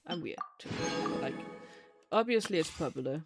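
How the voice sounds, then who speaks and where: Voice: mid-range voice, lively intonation, moderate pace, loud music behind the voice. A streamer giving casual, rambling and blunt commentary on a game, swearing freely.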